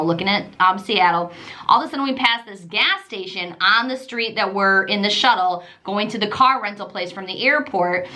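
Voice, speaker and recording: A woman from Michigan with a Midwestern accent with a Southern trace, telling a travel story to camera in a chatty, rambling way. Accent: Midwestern American with a trace of Southern. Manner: chatty and animated, lots of fillers and repetition, jumps pitch when quoting herself. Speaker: travel vlogger.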